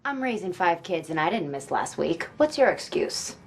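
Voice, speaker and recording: A woman's voice: on the low side, very animated, normal speed, background noise. An overworked, exasperated young woman. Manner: exasperated, profane. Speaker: recap narrator for a TV series. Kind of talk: scripted TV recap. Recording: TV soundtrack with loud music.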